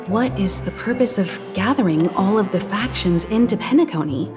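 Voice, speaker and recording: A woman voicing a poised crew leader in video game dialogue, measured and authoritative, with music behind her. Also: medium pitch, natural voice. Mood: calm, serious. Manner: measured and authoritative, gives calm orders.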